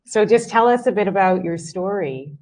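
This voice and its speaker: A woman hosting an evening talk, introducing the guest and asking questions in a measured, gracious way. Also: medium pitch, expressive, darkish tone. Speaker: event host, moderator. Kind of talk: hosting an interview on stage.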